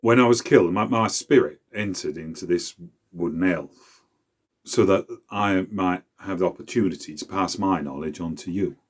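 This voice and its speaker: A man voicing the spirit of an old male wood elf, a craftsman father, speaking deep and grave. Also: moderate pace. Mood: solemn, tender.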